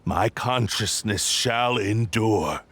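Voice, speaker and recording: A man's voice: lowish voice, slow, vocal fry, fairly bright tone, exaggerated voice. A man voicing an arrogant, manic sword spirit in an anime game, haughty and theatrical. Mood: cold, arrogant. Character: arrogant, manic cursed sword spirit possessing a man's body. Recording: faint music behind.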